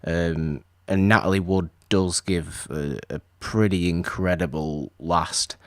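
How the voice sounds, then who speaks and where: Deep-voiced man chatting about films. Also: fairly even intonation, takes it slowly, no room sound, studio quality. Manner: chatty, rambling with restarts. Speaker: podcast co-host. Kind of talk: casual film discussion.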